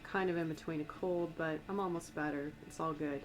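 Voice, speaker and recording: An American woman with a slightly raspy voice from a cold, giving a soft, meandering, conversational ASMR-style tarot reading. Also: low-pitched, fairly even intonation, brisk, faint music behind, rough recording. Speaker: tarot reader and astrologer.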